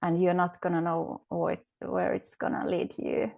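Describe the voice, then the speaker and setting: A woman's voice: fairly low pitch, little pitch movement, moderate pace, creaky, a little mellow. A woman with a Finnish accent, a podcast guest speaking thoughtfully, often searching for words with fillers and restarts. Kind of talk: reflective interview conversation.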